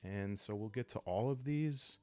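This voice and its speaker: A man speaking calmly and soothingly in an unhurried way, hosting a relaxing role-playing series meant to help listeners fall asleep. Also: on the low side, no echo. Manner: calm, unhurried, soothing delivery. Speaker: host of a solo role-playing video series. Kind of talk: calm, sleep-aid ASMR narration to viewers. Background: background music.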